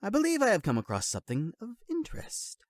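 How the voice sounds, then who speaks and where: A man's voice: high voice, huge pitch swings, quick pace, dry recording. A man playing a stern, guarded monastery official in a comic dub, speaking earnestly in formal, old-fashioned phrases. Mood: formal, composed. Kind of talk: dramatic voice acting for a comic dub.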